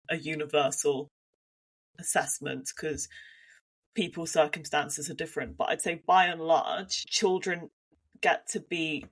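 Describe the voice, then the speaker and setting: Thoughtful woman with a Devon accent. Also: low-pitched. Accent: Devon English. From Devon, England. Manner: thoughtful, hedging with many restarts. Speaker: podcast co-host. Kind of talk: casual conversational podcast.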